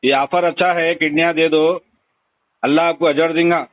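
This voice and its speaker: A man with a Hyderabadi accent speaks in a fairly high voice. He is blunt and insistent in a casual, conversational way. The sound is a narrow, dry telephone recording with very little room in it. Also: fairly even intonation, moderate pace, no room sound. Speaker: phone call recipient. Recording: tinny narrowband phone call recording.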